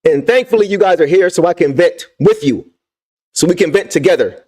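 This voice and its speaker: A young man with a voice going hoarse, hosting a livestream and venting about basketball, repeating his points with emphasis and talking to the chat. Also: high voice, lively intonation, a little fast, darkish tone. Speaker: sports fan channel host. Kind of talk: livestream sports rant.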